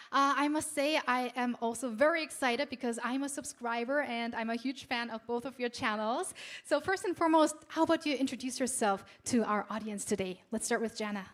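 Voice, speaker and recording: A woman's voice: a little high, fairly bright tone. A woman hosting a live ceremony talk show, with a polished, upbeat emcee delivery. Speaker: event host and talk show moderator.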